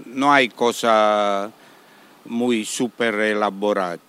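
A middle-aged man with a strong Italian accent speaks in a slow, unhurried way. His voice is smooth and clear, and his phrasing is halting as he drops Italian words into his sentences. He sounds like a restaurant owner answering interview questions. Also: fairly low pitch, fairly even intonation, fairly bright tone. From Italy, Amalfi coast area. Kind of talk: interview guest.

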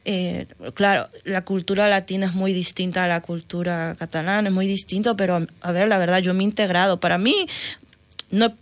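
A middle-aged woman from Peru with a Peruvian Spanish accent, a radio guest talking casually, hesitant and often restarting, quick to laugh. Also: medium pitch. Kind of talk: casual interview talk.

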